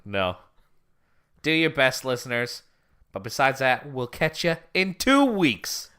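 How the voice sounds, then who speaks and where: A man's voice: medium pitch, big pitch swings, slow pace, sharp tone, clear, resonant tone. A young man co-hosting a casual podcast, rambling with restarts and frequent laughs. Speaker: podcast co-host.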